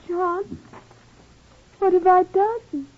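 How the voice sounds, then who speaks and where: A woman's voice: very high voice, steady tone, drawn-out words, exaggerated voice. A woman playing a desperate, genteel murderess in love, in an old-time radio crime drama. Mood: distraught, pleading. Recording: muffled, noisy old radio drama recording.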